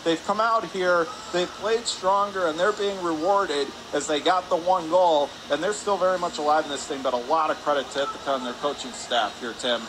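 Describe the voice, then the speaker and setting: A man calling live radio hockey play-by-play in clipped phrases from an ice rink. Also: fairly high pitch. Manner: clipped rapid phrases, rising on shots. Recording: clear background noise, arena ambience.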